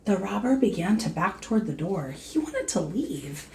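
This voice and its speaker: A woman teacher reading a storybook aloud to children in an expressive manner. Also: medium pitch, slight background noise. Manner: slow, expressive, addressing young listeners.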